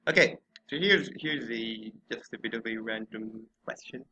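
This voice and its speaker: Male, bright voice, podcast host, casual, stammering repeats, medium pitch, slightly noisy clicky mic. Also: normal speed. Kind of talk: casual discussion.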